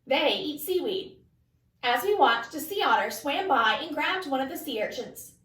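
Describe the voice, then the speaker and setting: High-voiced woman reading a storybook aloud. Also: expressive, moderate pace, pushed and bright. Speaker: storybook reader. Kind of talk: expressive read-aloud narration for children. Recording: echoey room, distant mic.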